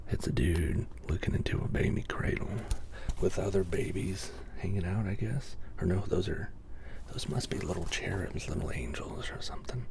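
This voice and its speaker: A man reading bedtime stories in soft-spoken ASMR style, slow and breathy, with wry casual asides. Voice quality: deep, soft, breathy near-whisper. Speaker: ASMR reader.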